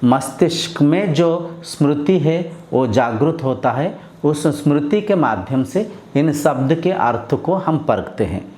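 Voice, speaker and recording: A man giving a measured spiritual discourse as a teacher, explaining step by step and asking his listeners rhetorical questions. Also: a little high, expressive, a little mellow. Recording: roomy echo with faint ambient noise.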